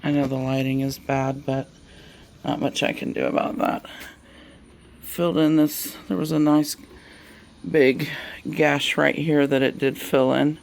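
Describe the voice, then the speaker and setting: A woman with a Southern US accent gives a casual hands-on demonstration. Her speech is very slow and folksy, with heavy vocal fry running through it. There is faint noise behind her on the handheld camera recording. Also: a bass voice, steady tone, darkish tone. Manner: folksy, unhurried, murmuring along while working. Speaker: DIY vlogger.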